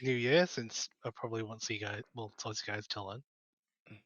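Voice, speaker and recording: A man's voice: lowish voice, fairly even intonation, normal speed, a bit bright, no room sound. A man chatting casually as a caller to a podcast. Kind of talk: casual chat. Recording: dull, lo-fi call audio.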